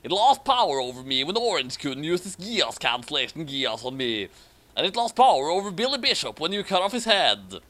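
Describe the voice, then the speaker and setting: A man's voice: on the high side, big pitch swings, a little fast, pushed and bright. A man voicing a matter-of-fact adventurer who explains himself in a comic story reading.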